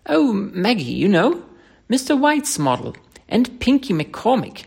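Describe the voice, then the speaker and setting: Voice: medium pitch, big pitch swings, measured pace, vocal fry, breathy edge, voice pushed up, exaggerated voice. A reader voicing a merry young woman, an artist's model who chatters and gushes, in an audiobook story. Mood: merry, chatty. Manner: chatty, girlish, gushing.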